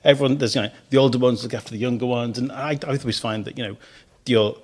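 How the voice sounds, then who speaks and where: Give me a fast, husky-voiced man hosting a chatty radio interview. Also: medium pitch, fairly even intonation. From Isle of Man. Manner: quick, chatty, encouraging, laughs often. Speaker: podcast host.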